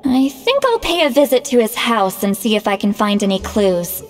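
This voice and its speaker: A woman's voice: fairly high pitch, sing-song, moderate pace, soft background music. A proud young shaman, reverberant. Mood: concerned, businesslike. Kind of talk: scripted video game dialogue.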